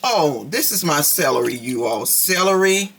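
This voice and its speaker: Raspy gravelly lady chatting thru a cooking vlog at home, bit higher voice, faint hum behind. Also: big pitch swings, moderate pace, fairly bright tone. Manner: chatty, talks straight to viewers. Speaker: cooking vlogger.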